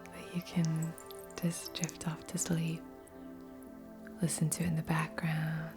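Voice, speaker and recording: Soft whispery asmr lady, super slow n soothing, low-ish and even, music behind her. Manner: gentle, soothing, unhurried. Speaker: ASMR Reiki host and meditation guide. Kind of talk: ASMR guided meditation. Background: background music.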